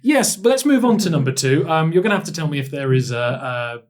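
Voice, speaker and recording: A man's voice: fairly high pitch, expressive, fairly quick. Man hosting a casual, jokey chat. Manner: teasing, jokey banter. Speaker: podcast host. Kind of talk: casual chat, interviewing a guest.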